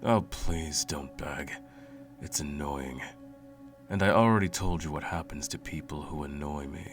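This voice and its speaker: A man playing a cruel, smug, all-powerful sorcerer in an ASMR roleplay, with a slow, condescending, menacing drawl and a gravelly voice. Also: extremely low pitch. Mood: mocking, domineering. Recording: music playing under the voice. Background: music.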